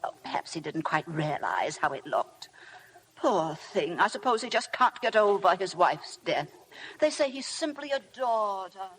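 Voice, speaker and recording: An actress playing a bossy, gushing middle-aged society matron with a snobbish air in a vintage radio drama. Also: female voice, mid-range voice, very animated, unhurried, background noise. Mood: catty. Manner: imperious, gushing. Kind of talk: 1950s radio drama acting. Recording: vintage radio broadcast with loud music.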